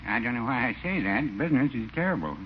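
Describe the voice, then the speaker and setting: A man's voice: mid-range voice, animated, fast talker, natural voice. An actor playing a mild, hesitant older druggist who hedges his words in an old radio comedy. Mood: meek, hesitant. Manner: hesitant, hedging. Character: mild, hesitant, mealy-mouthed older small-town druggist. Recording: muffled vintage radio with loud hiss.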